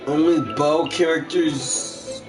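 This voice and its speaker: A man giving casual gameplay commentary, excitable and shouting at good plays, reading card text aloud in a measured way. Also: on the high side, music in the background, rough recording. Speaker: gaming channel host.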